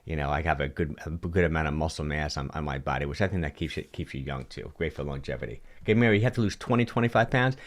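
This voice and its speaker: Rich: A man in his sixties with a low, deep voice, chatting on a livestream from a home studio into a close studio mic. He is animated and gestures as he talks, often restarting and repeating words while answering viewer questions. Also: fast, hardly any pauses. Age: 60s. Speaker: fitness coach hosting a livestream. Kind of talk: casual livestream talk, reading viewer comments. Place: indoor home studio with colored lights. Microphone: large studio mic on a boom in front of him.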